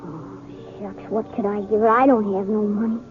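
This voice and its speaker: A woman's voice: medium pitch, fairly even intonation, normal speed, close to an everyday voice. A woman playing an earnest, shy young farm boy with rustic, plain speech in a radio western. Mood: thoughtful, downcast. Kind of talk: 1950s radio western acting. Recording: old tinny radio broadcast with music.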